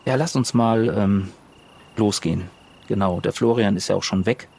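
A man's voice: lowish voice, moderate pace, gravelly, darkish tone. A man improvising casual in-character talk as a role-play player, hesitant and colloquial.

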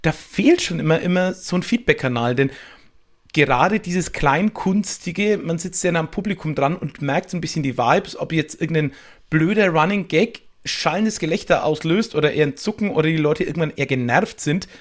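A man's voice: fairly high pitch, normal speed. A man chatting on a podcast as a guest, explaining things reflectively in loose, winding sentences. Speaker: podcast guest, improv stage performer. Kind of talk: casual podcast conversation.

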